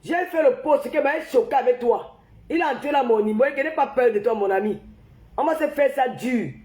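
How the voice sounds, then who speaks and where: A woman's voice: high voice, lively intonation, brisk, darkish tone, roomy sound. A woman with the flu, hosting a casual phone livestream and ranting heatedly and repetitively straight at her viewers. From Cameroon. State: has the flu. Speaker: livestream host. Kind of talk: casual live-stream rant.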